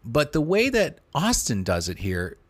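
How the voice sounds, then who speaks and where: A man's voice: medium pitch, huge pitch swings, unhurried, no echo. Enthusiastic man reviewing podcasts. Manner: enthusiastic, repeats words and restarts often. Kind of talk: casual review commentary.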